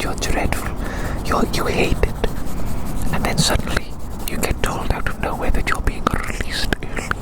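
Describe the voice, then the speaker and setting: An ASMR creator rambling in a close, playful whisper, softly repeating words and phrases to the listener. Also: poor audio. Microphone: close microphone.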